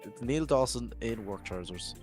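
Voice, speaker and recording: Jokey Scottish man, voice going hoarse. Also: medium pitch, lively intonation, moderate pace, a little mellow, soft background music. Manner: jokey, rambling banter. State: losing his voice. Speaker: drift commentator. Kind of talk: live esports commentary.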